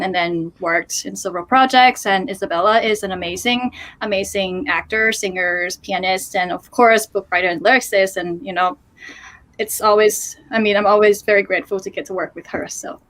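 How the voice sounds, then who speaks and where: A woman's voice: mid-range voice, a bit bright, rough recording. A woman from Thailand with a Thai accent, a non-native speaker talking casually and modestly as an interview guest. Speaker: guest composer.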